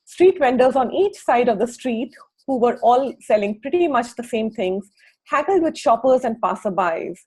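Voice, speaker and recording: A woman co-hosting a book club, reading aloud from a book and adding informal comments in a husky voice. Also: on the high side, expressive, moderate pace, darkish tone, low-quality recording.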